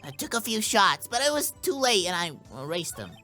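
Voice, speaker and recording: A man's voice: high-pitched, very animated, fairly quick, sharp tone, soft background music, pitched above the performer's own voice, full character voice. A man voicing a young boy witness in a video game, nervous, defensive and prone to stammering. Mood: nervous, evasive.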